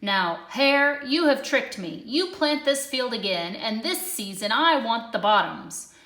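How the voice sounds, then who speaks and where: A lazy storybook bear acted by a woman, slow on the uptake and easily fooled. He grumbles his way through his lines. The room around the voice is echoey. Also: on the high side, lively intonation, a bit bright. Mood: indignant.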